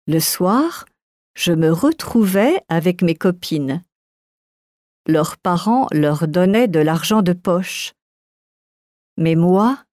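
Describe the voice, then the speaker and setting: A woman with a mellow, fairly low voice reads a language-course script slowly and carefully. The recording is close and dry. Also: no echo. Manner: careful, clearly articulated. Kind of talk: slow scripted reading for learners.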